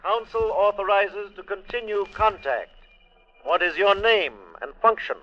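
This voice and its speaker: An alien commander from a distant world in an old radio play. His voice is fairly high, formal and clipped, with measured authority. It comes through a radio filter on a narrow, band-limited old recording. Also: lively intonation. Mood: formal, official. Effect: radio transmission filter. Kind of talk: 1940s-50s radio drama acting.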